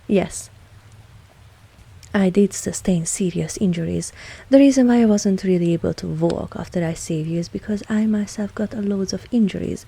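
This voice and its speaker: A woman playing a dominant, seductive vampire mistress in an intimate ASMR roleplay, speaking softly and with measured, coolly protective authority. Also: lowish voice, creaky, husky. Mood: composed, attentive.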